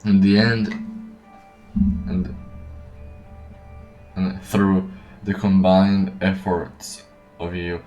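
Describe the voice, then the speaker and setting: A man with a low voice reads game story text aloud at a slow, stumbling pace, often repeating words. He sounds far from the mic in an echoey room, with music behind. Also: level tone, dark tone. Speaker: game streamer.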